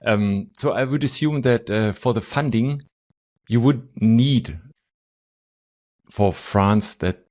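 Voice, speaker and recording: A man's voice: mid-range voice, slow pace, a little mellow. A man hosting an interview podcast, asking long rambling questions and checking in with "right?". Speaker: podcast host.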